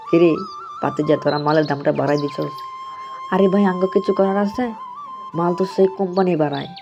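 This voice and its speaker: A man's voice: fairly high pitch, lightly acted. A man playing a harried, complaining village shopkeeper in a cartoon, with a Bangladeshi accent and a curt manner. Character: harried, irritable male village shopkeeper, the nephew. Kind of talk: amateur cartoon dialogue. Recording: muffled, dull lo-fi audio.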